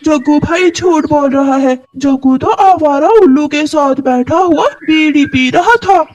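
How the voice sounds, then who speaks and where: A man's voice: very high voice, measured pace, highs cut off, pitched above the performer's own voice. A man voicing a young, mistreated cartoon crow daughter who speaks in a pleading, plaintive, dutiful way. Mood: urgent tattling. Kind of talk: cartoon moral story voice.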